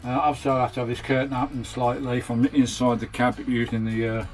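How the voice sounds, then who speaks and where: British male vanlife vlogger, mid-pitched, casual and chatty, slangy matey delivery, music in the background. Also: level tone, fairly quick. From United Kingdom. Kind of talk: casual hands-on video tour and fitting commentary. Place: inside a small campervan.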